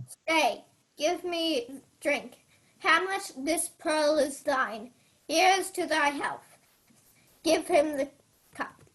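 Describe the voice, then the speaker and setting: Commanding, devious monarch young woman acting, high pitch, deliberate slow delivery, classical radio drama. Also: expressive, fairly bright tone, full character voice. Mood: ceremonious, scheming. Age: young adult. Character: King Claudius, commanding, scheming older male ruler. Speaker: student actor. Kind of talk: Shakespearean radio play acting.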